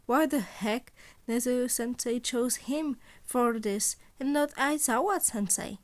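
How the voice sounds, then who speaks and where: A woman's voice: fairly high pitch, a little mellow. A woman narrating fan fiction in an amateur story-reading style.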